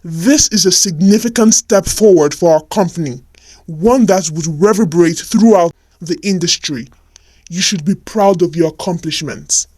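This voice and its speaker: A woman voicing a confident, authoritative male company boss in a storybook tale, speaking formally and with measured praise. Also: fairly low pitch, mellow, pitched below the performer's own voice, close to an everyday voice. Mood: proud, approving.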